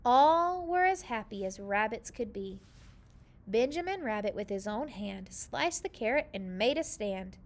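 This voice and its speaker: A woman reading a children's story aloud in an expressive, animated storytelling manner. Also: fairly high pitch, unhurried. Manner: expressive, animated delivery with character voices. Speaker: storybook reader.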